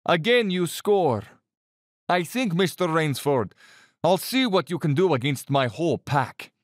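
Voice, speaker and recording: A man's voice: fairly high pitch, expressive, measured pace, dry recording, clean studio sound. A man acting a courteous, taunting Cossack general and big-game hunter in an audio drama. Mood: gloating, amused.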